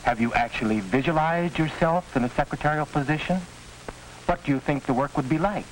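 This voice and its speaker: A middle-aged man playing a formal, courteous school counselor in a 1940s educational film, with a measured, didactic manner. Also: a little high, lightly acted, rough recording. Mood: courteous, guiding. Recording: hissy 1940s film soundtrack.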